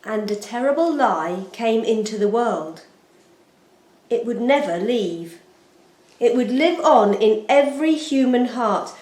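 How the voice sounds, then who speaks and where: A woman storyteller giving an expressive, solemn and deliberate narration of a scripted children's Bible story. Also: fairly high pitch. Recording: roomy, echoing sound.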